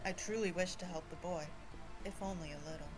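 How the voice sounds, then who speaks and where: Soft polite young monk guy, woman voicing him, game fan dub, music playing under. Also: fairly low pitch, steady tone, a little fast, natural voice, low-quality recording. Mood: gentle. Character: gentle young male monk acolyte. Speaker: fan voice actor.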